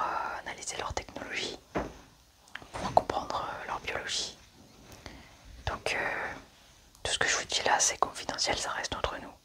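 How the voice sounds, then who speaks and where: A person whispering softly and unhurriedly through an ASMR roleplay.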